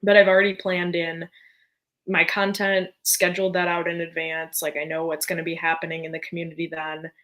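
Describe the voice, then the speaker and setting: A woman's voice: lowish voice, monotone, a little fast, a bit bright. A woman speaking as a guest on a podcast over a video call, upbeat and joking as she chats. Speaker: podcast guest, business owner. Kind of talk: casual panel chat.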